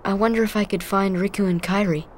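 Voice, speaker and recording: Young eager hero, bright, lively pitch. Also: male voice, quick pace. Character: eager spiky-haired teenage boy hero.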